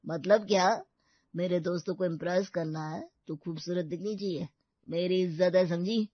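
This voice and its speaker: A woman's voice: fairly low pitch, steady tone, moderate pace, no room sound, muffled recording, natural voice. A woman voicing an arrogant, bullying husband in an audio story, curt, domineering and sneering. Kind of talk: Hindi audio story character acting.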